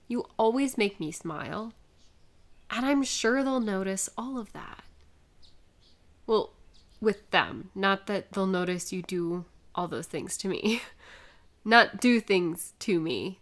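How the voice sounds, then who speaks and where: A woman playing a sick, sweet young woman flustered by a crush, in an intimate audio roleplay with halting asides to herself. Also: mid-range voice. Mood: friendly, flustered. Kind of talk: intimate one-sided audio roleplay acting.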